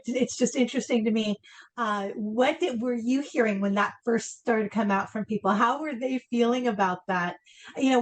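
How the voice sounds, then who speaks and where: A woman with a distinctly high voice hosts a casual interview. She comes from Argentina and speaks Spanish as her first language, asking questions in a conversational way.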